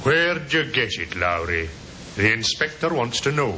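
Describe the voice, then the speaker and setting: Dutiful sergeant, sharp voice, matter-of-fact, pressing, noisy vintage radio recording. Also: male voice, medium pitch, big pitch swings, a little slow, full character voice. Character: dogged, dutiful police sergeant. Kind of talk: 1950s radio drama acting.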